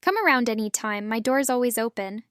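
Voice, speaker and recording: A woman reading English example sentences slowly and clearly for a language lesson. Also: fairly high pitch, animated, fairly bright tone, no room sound.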